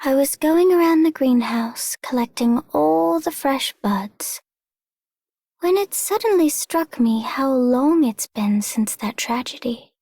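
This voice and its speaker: A woman playing a gentle, wistful young woman in a video game, soft, breathy and earnest. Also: high-pitched, lively intonation, slow, no room sound, clean studio sound, exaggerated voice. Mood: wistful, hopeful. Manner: tender, earnest.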